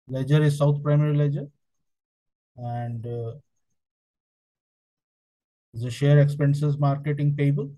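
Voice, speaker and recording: A man with a soft-edged, mellow voice at an ordinary male pitch walks through software step by step. He speaks as a trainer, in short, halting pieces. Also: poor audio. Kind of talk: step-by-step software tutorial narration.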